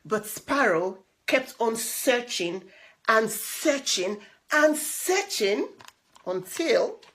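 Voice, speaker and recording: A woman reading a children's picture book aloud in an expressive, dramatic way, with little asides to the listeners. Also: fairly high pitch. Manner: dramatic, animated, with drawn-out repeated words.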